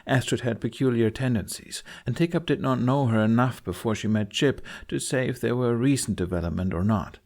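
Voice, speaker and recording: A man's voice: medium pitch, fairly quick, vocal fry, few pauses, a little mellow. A man reading scripted, dramatic fantasy narration as an audiobook narrator.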